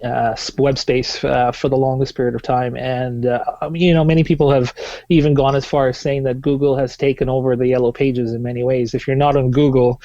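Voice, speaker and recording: A man in his thirties, originally from Tanzania, talks through his answers on a podcast. His voice is mellow and warm, and it sits close to a dry, slightly dull mic. He keeps talking fluently with plenty of "uh"s and restarts. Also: medium pitch, little pitch movement, fairly quick, no room sound. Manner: fluent, with frequent "uh" fillers and restarts. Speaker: guest, marketing specialist.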